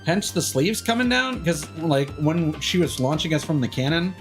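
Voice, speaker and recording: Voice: mid-range voice, sing-song, moderate pace, faint music behind. A game streamer giving casual live commentary, chatting and thinking aloud while playing.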